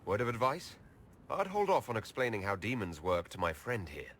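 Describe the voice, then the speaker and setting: An actor playing a steady, supportive, plain-spoken male elven leader in a video game drama. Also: lowish voice, moderate pace, a little hiss or hum.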